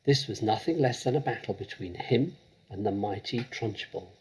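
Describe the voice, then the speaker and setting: A man narrating a children's story expressively, with dramatic, measured delivery. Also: on the low side, darkish tone, slightly rough, poor audio. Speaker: storybook reader.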